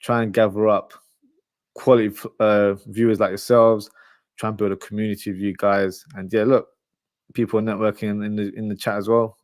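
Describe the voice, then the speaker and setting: A man's voice: fairly low pitch, level tone, brisk, a little mellow, no echo. A man who speaks Urdu at home, hosting a casual live football preview and talking informally and directly to his viewers. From Pakistani family from Lahore. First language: Urdu spoken at home. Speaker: football podcast host. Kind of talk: casual live chat, match preview and reading comments.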